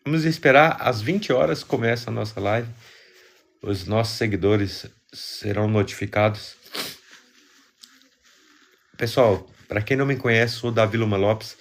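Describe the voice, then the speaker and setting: Low-voiced Brazilian man with a cold. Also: measured pace, vocal fry, pauses often. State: congested with a cold. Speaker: live stream host. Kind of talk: casual livestream chat, greeting viewers.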